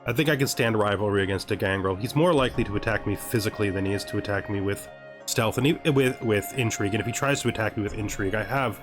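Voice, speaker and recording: A man's voice: on the low side, fast, over music. A man commentating casually on a video game he plays, thinking aloud and reading text from the screen. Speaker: gameplay streamer.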